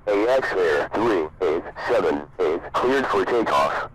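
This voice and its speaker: Male pilot, deep register, scripted radio readbacks, noisy transmission. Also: lively intonation, measured pace.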